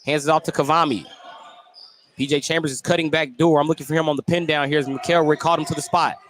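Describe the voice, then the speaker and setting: An American man doing live play-by-play basketball commentary for radio, getting excited on big plays. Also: on the high side, fast talker. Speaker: play-by-play sports announcer. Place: indoor basketball gym. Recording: noisy live gym broadcast. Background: loud gym ambience.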